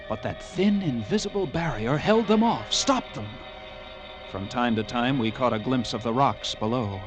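A man's voice: medium pitch, close to an everyday voice, poor audio. An actor in an old-time radio drama playing a haunted lighthouse keeper who narrates his horror tale in a brooding, dramatic way.

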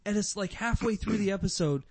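A jokey man hosting a podcast, with a voice pitched a little above the usual. He chats loosely and laughs often, close to the microphone in a dry room with little echo. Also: fairly quick. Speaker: podcast host. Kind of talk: casual podcast banter.

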